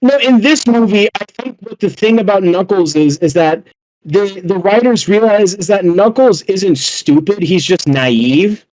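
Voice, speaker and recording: A man chatting casually as a podcast panelist about films, earnest and halting, often repeating words as he goes. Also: high voice, a little mellow, poor audio. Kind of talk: casual chat.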